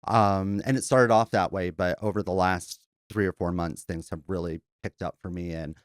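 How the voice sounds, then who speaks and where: A man with a deep, low bass voice talks casually as an interview guest, recorded close and dry. He is a performer who tells his own story in a rambling, effusive, slightly campy way. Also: steady tone, normal speed, smooth, dry recording. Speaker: podcast guest, drag performer and singer. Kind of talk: casual chat, personal storytelling.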